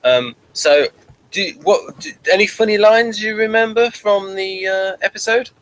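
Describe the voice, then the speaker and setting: A man chatting casually as co-host of a review show, amused and halting in his delivery. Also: sits high, a bit bright, low-quality recording. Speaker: podcast co-host reviewing TV episodes. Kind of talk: casual chat.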